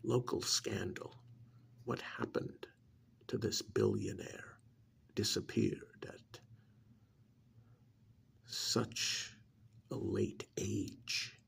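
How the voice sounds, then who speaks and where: A man voicing an ancient alien creature who scorns humans, in a gravelly, breathy ASMR roleplay monologue that often sinks into whispers, slow and brooding. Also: lowish voice, steady tone, fairly bright tone. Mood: quietly sinister. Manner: slow, deliberate, brooding, with sighs and pauses.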